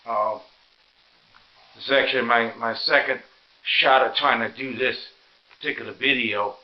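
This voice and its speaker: A tired, sore man gives a rambling, halting, casual narration as he hosts a home vlog. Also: mid-range voice, fairly even intonation, muffled recording. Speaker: vlog host. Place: inside a house, walking through rooms.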